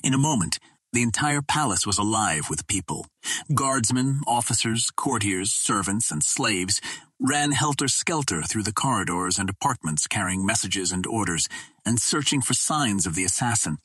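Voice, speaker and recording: A man narrating a classic adventure novel in a measured, formal storytelling way. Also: lowish voice, lively intonation, fairly bright tone, husky, no echo. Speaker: audiobook reader.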